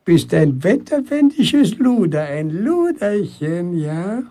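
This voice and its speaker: A gruff old grandfather from a radio play, husky and creaky. He takes his time, with a lively swing in his pitch, bossy yet fond and teasing. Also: slow, heavily acted. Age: older. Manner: commanding, teasing, tender with his horse. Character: gruff, affectionate old grandfather with a runaway horse. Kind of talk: German radio drama acting.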